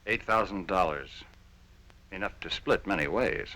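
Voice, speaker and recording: An actor playing a cool, controlling spy recruiter in a training film drama, curt and menacing. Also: male voice, deep voice, normal speed, sharp tone, poor audio. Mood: cool menace.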